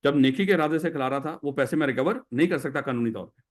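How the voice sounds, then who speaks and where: A man's voice: a little high, quick pace. A man with a Pakistani Urdu accent teaching a classroom lecture, who explains by putting questions to his students.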